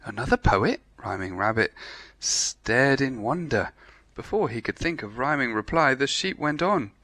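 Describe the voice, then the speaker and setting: Male storybook reader, bright clear-cut tone, measured narration for young children. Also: mid-range voice, lively intonation, no room sound. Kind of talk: children's story read aloud.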